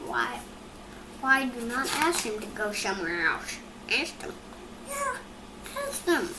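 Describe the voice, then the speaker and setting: A child as a matter-of-fact storybook pig, slow-paced. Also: medium pitch, wildly swooping pitch. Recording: echoey, noisy room recording.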